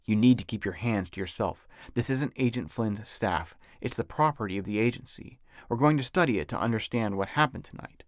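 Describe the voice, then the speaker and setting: A man's voice: lowish voice, fairly quick, hardly any pauses, dry recording, studio quality, close to an everyday voice. A man reading an audiobook as a stern, suspicious male agent with a curt, authoritative manner.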